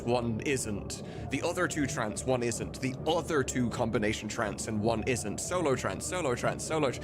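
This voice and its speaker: A man hosting a casual livestream as a mod developer, rambling, blunt and sweary as he thinks aloud. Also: medium pitch. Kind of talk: casual livestream chat while demonstrating.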